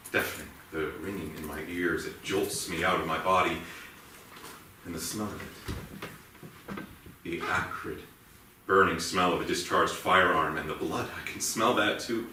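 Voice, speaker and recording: Haunted policeman, male actor, guilt-ridden and intense, low register, heard from afar in a reverberant theatre. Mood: haunted, brooding. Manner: brooding, halting, emotionally intense. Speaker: actor in a staged reading. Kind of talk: dramatic theatrical monologue. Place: indoor theatre stage. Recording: echoey theatre, distant mic, faint noise.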